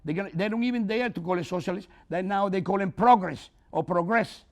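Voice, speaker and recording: An older man giving a closing speech to an audience as an author. He speaks in an earnest, preaching way, with simple phrasing and big swings between loud and soft. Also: high voice, fairly quick.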